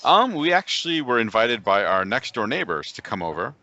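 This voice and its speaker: A man co-hosting a podcast, chatting casually with a loud voice over a video call from a coffee shop. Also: mid-range voice, sing-song, a little fast, no room sound. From New York State, USA. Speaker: podcast co-host. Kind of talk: casual chat. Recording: dull lo-fi call audio.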